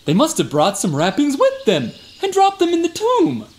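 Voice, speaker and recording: Swooping, boastful, dim guard. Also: male voice, very high voice, huge pitch swings, moderate pace, full character voice. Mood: flustered exaggeration. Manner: bragging, exaggerated, bumbling. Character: boastful, dim, attention-hungry male security guard. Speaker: temple guard witness. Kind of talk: comic radio play.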